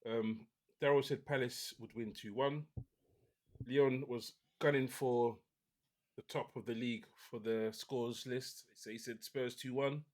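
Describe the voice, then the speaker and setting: A man hosting a casual football podcast, talking in a relaxed, opinionated way with many restarts. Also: medium pitch, unhurried. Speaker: podcast host.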